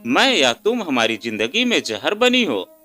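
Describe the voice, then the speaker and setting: A man's voice: on the high side, big pitch swings, measured pace, bright, forceful tone, faint music behind, exaggerated voice. A male storyteller voicing a rude young woman nurse with a harsh, grating voice and a scornful manner. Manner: scornful, sneering.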